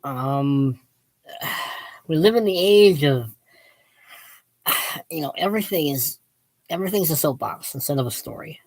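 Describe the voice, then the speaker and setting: Book vlogger, female, late fifties. Low voice, dry close sound, casual and digressive, self-deprecating, opinionated. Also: lively intonation, unhurried, pauses often, a little mellow, no echo. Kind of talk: casual rambling book talk to viewers.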